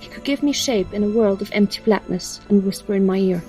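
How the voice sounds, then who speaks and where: A woman plays a resolute young noblewoman who fights for her companions in an audio drama. Her mid-pitched voice is firm, guarded and serious, over faint music. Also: a little fast, dark tone, close to an everyday voice, low-quality recording. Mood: solemn.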